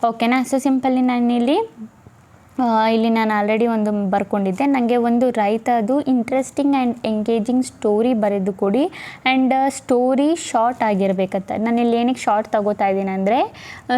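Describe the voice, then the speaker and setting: A woman's voice: on the high side, mellow. A woman presenting a casual step-by-step tutorial, instructing and often checking in with listeners. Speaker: tutorial host.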